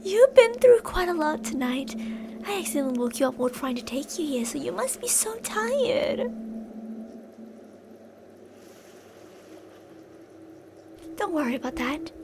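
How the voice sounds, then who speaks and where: Woman voicing an unhinged yandere fangirl, extremely high voice, possessive, intimate ASMR roleplay, pleading yet menacing, noisy background. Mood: soothing, possessive. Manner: intimate, swinging between insistent pleading and soft whispery menace. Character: obsessive yandere female fan, possessive, unhinged kidnapper. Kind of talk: ASMR roleplay, dramatic monologue addressed to the listener.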